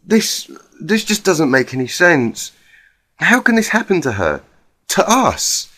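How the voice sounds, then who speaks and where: Man voicing an anxious, high-pitched young unicorn girl. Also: big pitch swings, unhurried, sharp tone, full character voice. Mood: bewildered, upset. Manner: hesitant, repeating words in distress. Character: anxious, bewildered young female cartoon unicorn.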